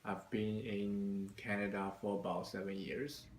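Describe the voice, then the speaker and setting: A man from China, a university student, answering interview questions slowly with many hesitations. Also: fairly low pitch, flat delivery, echoey room, slight background noise. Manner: slow, hesitant, many fillers.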